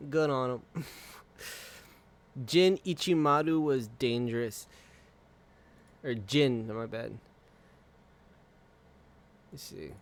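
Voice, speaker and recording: A male streamer rambles at an unhurried pace, his voice clear and smooth. He swears freely and laughs often. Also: mid-range voice. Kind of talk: casual live-stream chat.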